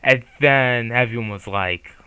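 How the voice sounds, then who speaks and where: A man's voice: mid-range voice, unhurried, pushed and bright, clear, resonant tone, dry recording. A man giving casual, opinionated commentary on a manga, swearing freely and rambling with restarts. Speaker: YouTuber reviewing a manga.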